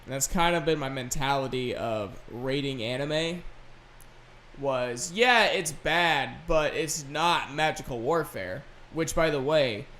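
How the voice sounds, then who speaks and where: A man hosting a solo podcast, chatting casually and unscripted in a rambling, halting way. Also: medium pitch, a bit bright, a little hiss or hum. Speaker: podcast host and gaming video creator.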